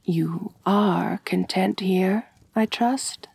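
A woman playing a refined, kind but possessive vampire mistress in a soft, intimate ASMR roleplay, gentle and doting, often near whispering. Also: mid-range voice, extremely slow, no echo, full character voice. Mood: doting, soothing. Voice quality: breathy.

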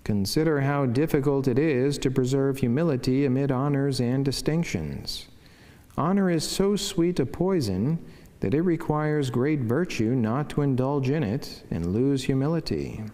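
A man, a priest, reading a scripted devotional in a measured, formal and reverent way. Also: medium pitch, dark tone. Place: wood-panelled church sanctuary, indoors. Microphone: lectern microphone. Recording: long church reverb.

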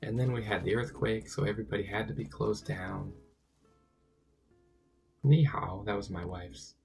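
Hoarse, gravelly man chatting while gaming. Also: on the low side, normal speed, a little mellow, faint music behind. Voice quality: gravelly, raspy. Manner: chatty, talks to himself and the game. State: hoarse from a sore throat. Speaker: let's play gamer. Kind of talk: casual gameplay commentary.

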